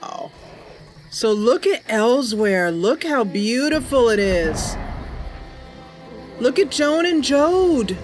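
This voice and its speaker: A woman streamer with a fairly high voice chats casually over a game. She speaks slowly and enthusiastically, with clear game music behind her. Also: very animated. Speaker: game streamer and channel host. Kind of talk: casual livestream gameplay commentary. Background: game music and sounds.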